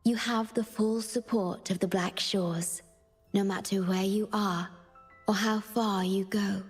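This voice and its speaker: A gentle young guardian woman from a fantasy game, devoted and soft-spoken. Her delivery is slow and measured, with a husky, breathy softness. Music plays faintly behind her. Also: medium pitch, fairly even intonation. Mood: tender, devoted. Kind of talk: scripted video game story dialogue.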